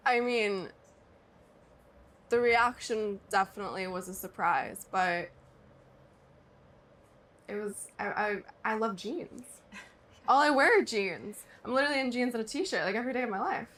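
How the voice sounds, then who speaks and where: A woman's voice: on the high side, expressive, moderate pace, pauses often, fairly bright tone. Composed young woman in interview. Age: 20s. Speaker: actress being interviewed. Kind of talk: magazine interview.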